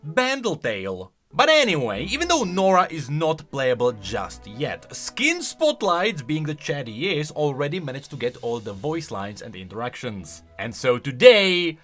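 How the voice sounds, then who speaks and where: A man's voice: fairly high pitch, very animated, a little slow, hardly any pauses, a bit bright, faint music behind. A man hosting casual video game commentary, emphatic and thinking aloud as he goes. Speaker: video creator and host.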